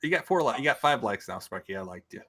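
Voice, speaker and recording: A man's voice: medium pitch, sing-song, fast, crowd noise. A man, likely in his thirties, co-hosting a casual stream, stumbling through restarts and repeated words as he explains. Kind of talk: casual chat.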